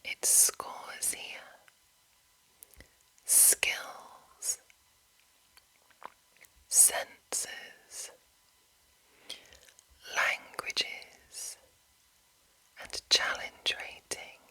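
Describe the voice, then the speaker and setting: A woman hosting an ASMR reading, whispering in a hushed, deliberate way and lingering over short phrases. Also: low-quality recording. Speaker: ASMR host. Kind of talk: whispered ASMR reading of a game manual with casual commentary.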